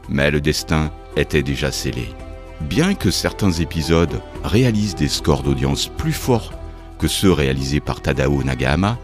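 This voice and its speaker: A man reading scripted documentary narration as the narrator. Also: rumbling low.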